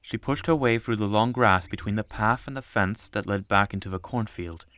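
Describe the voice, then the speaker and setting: Male audiobook narrator, low register, dry close sound, scripted storytelling. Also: moderate pace, a bit bright, no echo.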